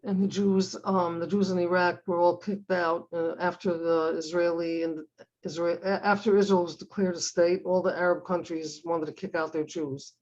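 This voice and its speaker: A woman's voice: on the low side, little pitch movement, normal speed, darkish tone, dull audio. An older woman from Cleveland, Ohio, whose first language is Hungarian, chatting casually and telling rambling family stories with many restarts. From United States (Cleveland, Ohio). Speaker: drawing class participant. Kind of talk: casual chat.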